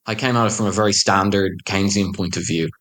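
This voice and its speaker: A man's voice: deep voice, steady tone, fast, fairly bright tone. A man speaking as a panel guest, giving blunt, opinionated views in a discussion. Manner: blunt, opinionated, pushes his points hard.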